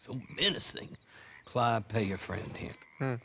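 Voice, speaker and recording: An actor playing a folksy, haggling American dealer in stolen livestock, amused and unflappable, in a video game. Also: male voice, mid-range voice, animated, takes it slowly, fairly bright tone, a little hiss or hum. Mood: amused, dismissive. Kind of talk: video game cutscene acting.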